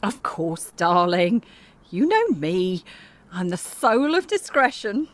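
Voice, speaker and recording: An actress playing a bawdy, imperious French singer in an audio drama, bossy and blunt yet coaxing with endearments. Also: female voice, lowish voice, sing-song, takes it slowly. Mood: wry, grumbling. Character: bawdy, imperious French chanteuse, brazen and commanding.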